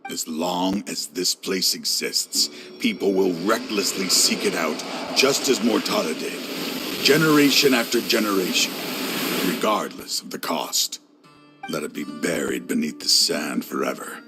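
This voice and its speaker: A deep-voiced grieving father. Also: music in the background. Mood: resolute. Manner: low, solemn, measured. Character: grieving older scholar father, weary, resolute. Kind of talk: video game voice acting.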